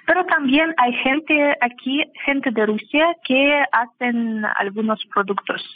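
A Russian woman with a Russian accent, speaking Spanish as a second language. She is a guest in an interview, halting and searching for words with frequent fillers. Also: sits high, no room sound. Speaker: guest, language teacher and film translator. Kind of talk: casual interview over a call. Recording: tinny phone line.

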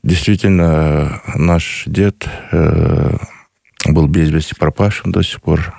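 A man, answering a radio interviewer earnestly and slowly, with many pauses. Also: rumbling low, steady tone, gravelly, dark tone, dry recording, clean studio sound. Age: 50s. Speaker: guest, grandson of a missing soldier.

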